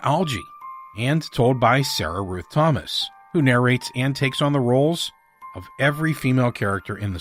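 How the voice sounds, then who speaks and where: A man hosting a podcast, reading a scripted introduction with announcements over music. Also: medium pitch. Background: soft music.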